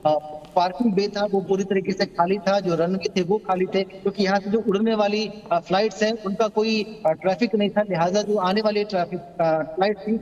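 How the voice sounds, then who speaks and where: A man giving a live news report as a field reporter, in a halting, hesitant delivery. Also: sits high, fairly even intonation, a little mellow. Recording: muffled, long hall-like reverb.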